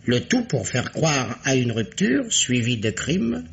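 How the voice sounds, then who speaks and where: An actor playing a methodical, mocking police investigator in a French radio mystery, speaking in a deliberate, ironic way. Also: male voice, medium pitch, very animated, creaky, full character voice. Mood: reasoning. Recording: muffled, noisy vintage radio recording.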